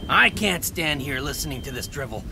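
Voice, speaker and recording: A man's voice: on the high side, lively intonation, normal speed, creaky, background noise. A man playing a cranky, impatient police lab chief who grumbles in exasperation, in a comic sci-fi audio drama. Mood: grumpy.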